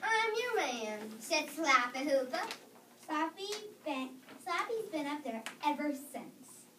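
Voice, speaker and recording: A child with a high voice reads story lines aloud. The sound is roomy and distant, with noise behind the voice. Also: big pitch swings, takes it slowly. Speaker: reader in a readers theater performance. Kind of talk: scripted story reading aloud. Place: echoey room. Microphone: distant microphone. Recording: echoey room, distant microphone, background noise.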